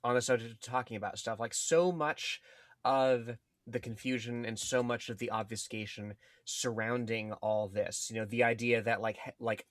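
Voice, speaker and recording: Male podcast co-host, dry close-up sound, average pitch, halting and cerebral in casual discussion. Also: fairly even intonation, no echo. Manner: halting, repetitive, wordy and intellectual.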